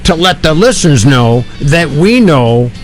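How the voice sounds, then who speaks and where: Irreverent male radio host, very animated pitch. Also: measured pace. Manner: joking, irreverent. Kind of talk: casual radio banter. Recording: radio aircheck with music bed.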